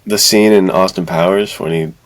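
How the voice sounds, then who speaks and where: A man's voice: low voice, fairly even intonation, normal speed. A man co-hosting a podcast in casual chat, reacting with slangy emphasis and putting questions to his partner. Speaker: podcast co-host.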